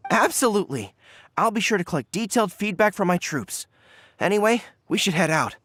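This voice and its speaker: A man's voice: high-pitched, lively intonation, a little fast, a bit bright, no room sound, studio quality. A man voicing a polite, earnest young military commander in a video game, formal and courteous. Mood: formal, eager. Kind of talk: English video game voice acting.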